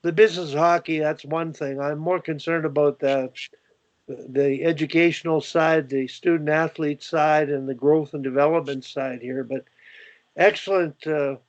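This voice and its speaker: An older Canadian man hosts a call and rambles on with plenty of "uh"s and false starts. His pitch sits a little high for a man. The sound is dull and dry, like laptop audio from a video call. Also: fairly even intonation, normal speed, no echo. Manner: rambling, many fillers and restarts. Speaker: host. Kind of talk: video call panel discussion.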